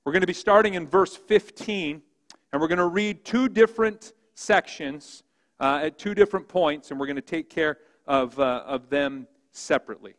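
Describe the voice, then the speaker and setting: A man preaching a sermon as pastor, with a big voice that swings from loud emphasis to quiet, hushed reading. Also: a little high, moderate pace, in a large hall. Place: church sanctuary.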